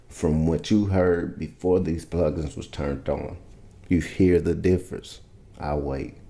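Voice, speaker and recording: A man's voice: rumbling low, dark tone. A man explaining his vocal mix settings in a loose, rambling, casual tutorial from a home studio. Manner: laid-back, rambling, loose and informal. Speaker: music producer teaching vocal mixing. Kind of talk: casual screen-share tutorial. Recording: roomy echo.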